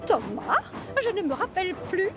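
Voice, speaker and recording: A chatty, excitable female digging machine in a children's cartoon. The voice is extremely high and animated, jumping about with playful exclamations. Music runs behind it. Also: normal speed. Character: excitable, chatty, joking female steam shovel. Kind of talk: children's cartoon dub acting.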